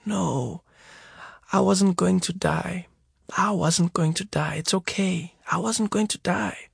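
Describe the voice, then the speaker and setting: A man voicing a gentle, loving mother who is recovering in hospital, speaking softly and soothingly in a storybook reading. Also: fairly low pitch, slow pace, vocal fry, slightly rough, dry recording, clean studio sound, pitched above the performer's own voice, full character voice. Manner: soft, hushed, soothing.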